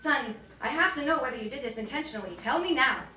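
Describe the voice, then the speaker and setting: A woman's voice: high voice, animated, fast, pushed and bright, soft background music. A woman voicing an anxious, protective noble mother in a story reading, urgent and earnest. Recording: roomy, distant, noisy bookstore recording.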